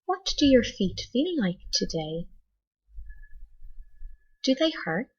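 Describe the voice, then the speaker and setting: A woman leading a scripted guided meditation like a teacher, in a measured, instructional manner with deliberate pauses. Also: fairly high pitch, very animated, breathy edge, highs cut off.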